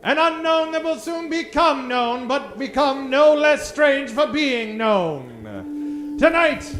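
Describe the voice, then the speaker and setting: A man's voice: very high-pitched, very animated, slow, fairly bright tone. A man hosting a radio theatre show, delivering theatrical scripted introductions in a grandiose, mock-dramatic, declamatory old-time announcer style.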